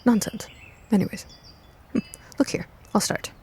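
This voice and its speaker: A woman's voice: moderate pace, a little mellow, close to an everyday voice. A woman voicing a playful, spirited older woman with a secretive streak in an audio story. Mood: flustered, dismissive. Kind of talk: audio fiction character dialogue.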